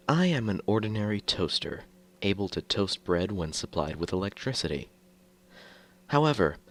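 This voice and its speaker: Very deep-voiced male horror narrator. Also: a little hiss or hum. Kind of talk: scripted horror fiction narration in first person.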